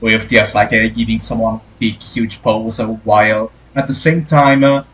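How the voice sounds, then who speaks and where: A man's voice: fairly low pitch, fairly even intonation, normal speed, a bit bright. A man from Italy speaking English with an Italian accent, a guest artist chatting hesitantly with lots of fillers. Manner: hesitant, many fillers, searching for words. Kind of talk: casual podcast chat.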